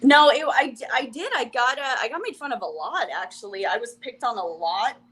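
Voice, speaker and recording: A young woman from Ukraine with a Russian accent, a comedian chatting as a guest, wry and quick to laugh. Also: a little high, expressive, a bit bright, breathy edge. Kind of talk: casual interview chat.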